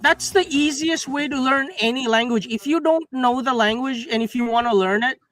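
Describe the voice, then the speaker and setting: A man bantering casually on a livestream panel, loud, combative and full of swearing. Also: very high voice, normal speed, soft background music. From born in South Asia, moved to Canada at nine.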